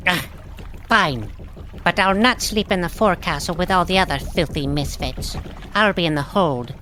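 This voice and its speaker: Haughty young heroine, woman actor, lowish pitch, refined and commanding, fantasy audio drama. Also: normal speed, sharp tone, a little hiss or hum. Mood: indignant. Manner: fancy, imperious, formal phrasing. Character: bossy, refined, haughty girl adventurer.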